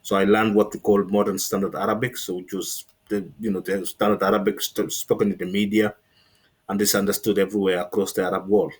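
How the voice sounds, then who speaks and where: A man's voice: on the low side, flat delivery, a little fast, husky. A middle-aged man with a Kenyan accent, giving a conversational account as an interview guest and often adding "you know" as he explains. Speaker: interview guest, former navy linguist.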